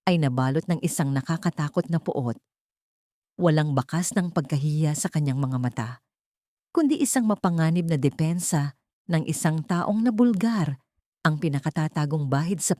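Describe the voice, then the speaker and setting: Female narrator for dramatic fiction audio story, lower register, very dry recording. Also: warm tone, no room sound.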